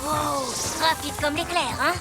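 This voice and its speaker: A woman's voice: high voice, unhurried. A woman voicing a cocky young wolf-child bully in a French cartoon, brash and mocking. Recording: loud music behind the voice.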